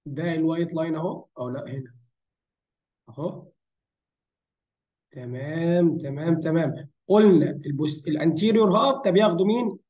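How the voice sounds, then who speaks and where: A man's voice: a little high, moderate pace, long pauses, a little mellow, lo-fi sound. A man with an Egyptian Arabic accent and a tired, strained voice gives an informal tutorial, mixing in English medical terms and answering his own questions. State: hoarse, strained voice from fatigue. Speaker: anatomy lecturer. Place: voice-over for a screen recording.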